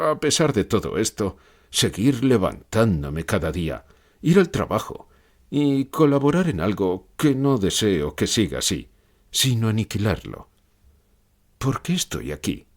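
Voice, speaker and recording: A man's voice: fairly low pitch, full character voice. An actor playing a gloomy, anguished male philosopher in an audiobook interview, speaking in a dramatic, declamatory manner. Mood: weary, brooding. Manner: dramatic, declamatory, rhetorical repeated questions. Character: gloomy, lucid pessimist philosopher, brooding and anguished man. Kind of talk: acted fictional interview, audiobook.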